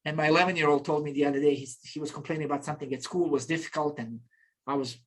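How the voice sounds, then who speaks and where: A man's voice: a little high, fairly even intonation, very fast. Reflective middle-aged man from Bosnia. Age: 50s. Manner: reflective, frequent restarts. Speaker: interview guest. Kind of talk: casual conversational storytelling.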